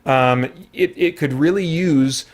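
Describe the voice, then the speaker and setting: A man's voice: on the high side, takes it slowly. A man giving a casual movie review to viewers, talking in an earnest, conversational way as he thinks aloud. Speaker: movie reviewer and channel host.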